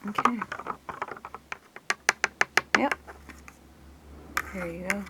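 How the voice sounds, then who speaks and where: A woman with a creaky voice doing an ASMR roleplay in her normal speaking voice, casual and hesitant as she talks through each step. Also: mid-range voice, drawn-out words, background noise. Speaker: ASMR creator playing an examiner.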